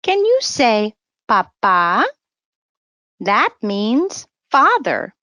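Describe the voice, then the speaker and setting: A woman reading a bilingual children's story aloud in a teacherly way, with simple, deliberate phrasing. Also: fairly high pitch, very animated, bright, forceful tone, no echo, clean studio sound. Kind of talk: read-aloud bilingual children's story with word-repeat prompts.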